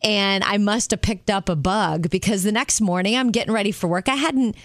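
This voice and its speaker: A woman co-hosting a radio show, telling a story in an animated way and acting out the quotes. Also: mid-range voice, a little fast, no echo, studio quality. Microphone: studio microphone.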